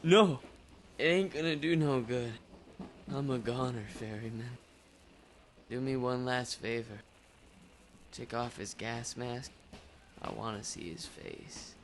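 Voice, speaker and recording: A man's voice: medium pitch, slow pace, long pauses, a little hiss or hum. A man playing a grim, menacing wounded hitman in an old-time radio drama. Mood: dying, strained. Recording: music behind the voice.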